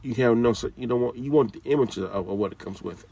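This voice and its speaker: A man's voice: medium pitch, fast talker, vocal fry, dark tone, slightly rough, distant mic. A man giving an informal, scolding talk with casual slang, often repeating his phrases.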